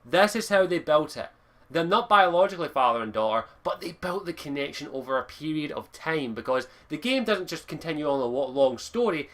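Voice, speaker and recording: A young man from Scotland with a Lowland Scottish accent, reviewing a video game in a casual, enthusiastic monologue full of swearing and restarts. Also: a bit bright.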